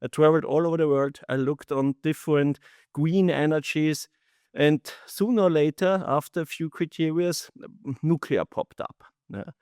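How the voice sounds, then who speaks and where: Middle-aged Austrian man, halting interview speech. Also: fairly high pitch, fairly even intonation, darkish tone, clear, resonant tone, no echo, clean studio sound. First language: German. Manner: halting, non-native English phrasing. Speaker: company founder, interview guest. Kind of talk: interview answers, recounting own career.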